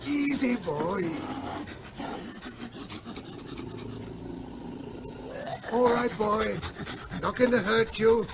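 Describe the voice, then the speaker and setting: An actor playing a steady small-town vet in an old radio drama. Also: male voice, high-pitched, slow, heavily acted. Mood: soothing. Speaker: local vet. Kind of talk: 1970s radio drama acting. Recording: clear background noise.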